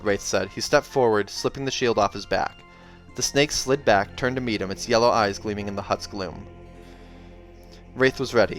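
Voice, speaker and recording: A man hosting a read-aloud, moving between expressive storybook narration and casual, chatty asides. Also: mid-range voice. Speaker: reader and channel host. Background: soundtrack music.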